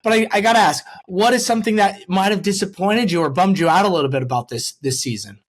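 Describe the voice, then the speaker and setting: A man's voice: high voice, fast. A man hosting a sports podcast in a casual, rambling way, repeating words and correcting himself as he goes.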